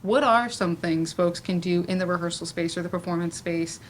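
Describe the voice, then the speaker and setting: A woman with a slightly low voice moderates an informal panel in a library room. Her talk is conversational and she rephrases herself as she goes. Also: moderate pace, a little hiss or hum. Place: indoor library event room.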